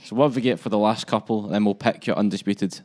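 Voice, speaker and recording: A man hosting a school podcast, asking quick, encouraging questions. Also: on the low side, darkish tone. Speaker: podcast host. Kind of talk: casual chat. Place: school classroom.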